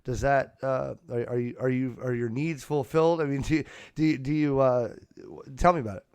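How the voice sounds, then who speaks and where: A man's voice: medium pitch, a little fast, a little mellow, clear, resonant tone, dry recording. Man hosting a casual podcast, dry close sound. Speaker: podcast host and amateur radio hobbyist. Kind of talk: unscripted casual chat. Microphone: close USB podcast microphone.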